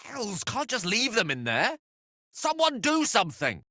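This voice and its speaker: An actor playing an eloquent, wry, romantic scholarly wizard in a fantasy video game, speaking in an articulate, theatrical way. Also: male voice, high voice, huge pitch swings, unhurried, pushed and bright, no room sound, clean studio sound, heavily acted. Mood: urgent, impassioned.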